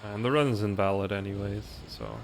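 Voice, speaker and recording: A man's voice: fairly low pitch, fairly even intonation, brisk, gravelly, a little hiss or hum. A man from the Netherlands whose first language is Dutch, chatting casually in commentary while playing as a speedrunner, with a pronunciation mixing British and American. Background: game music.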